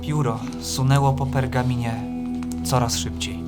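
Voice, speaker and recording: A solemn male poet, played by an actor, recites reflective verse for a radio play. He speaks slowly in a long, echoing reverb, with loud music behind him. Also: mid-range voice, level tone, lightly acted. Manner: measured, reflective, poetic recitation. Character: solemn male poet-narrator writing verse among mythic deities. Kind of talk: audio drama verse narration.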